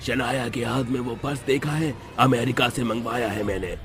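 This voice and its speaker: A man's voice: medium pitch, fairly even intonation, normal speed, fairly bright tone, natural voice. A man voicing a boastful, showy young husband of a witch in a cartoon story, pompous and drawling. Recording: music behind the voice.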